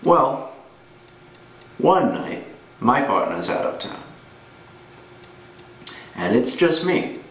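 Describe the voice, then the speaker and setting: A man performing a dramatic monologue as a gruff, weary older ex-cop, grimly menacing, telling his tale deliberately with pauses. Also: mid-range voice, sing-song, a bit bright, full character voice. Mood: grim reminiscing. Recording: roomy, distant mic with noise.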